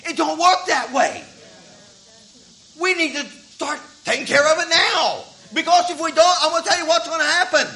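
A man's voice: very high voice, normal speed, vocal fry, sharp tone. A man, preaching a sermon in a folksy way that repeats words as he starts thoughts. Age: 50s. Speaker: pastor.

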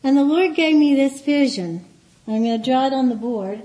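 A woman's voice: high-pitched, lively intonation, normal speed, poor audio. Light-voiced woman giving a church talk. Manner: warm, chatty storytelling with soft laughs and asides. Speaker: guest speaker. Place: meeting room with a board.

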